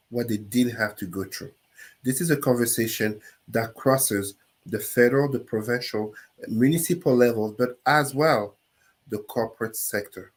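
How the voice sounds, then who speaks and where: A man on a discussion panel builds a measured, rhetorical argument. His voice has an ordinary middle pitch for a man. He speaks over a video call. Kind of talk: opinionated panel commentary.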